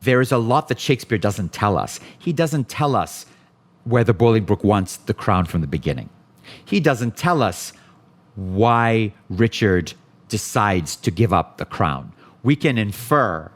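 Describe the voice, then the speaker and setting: A man's voice: fairly low pitch, very animated, measured pace. A man in an interview speaking reflectively as a director, with emphatic repetition. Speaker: theater director being interviewed.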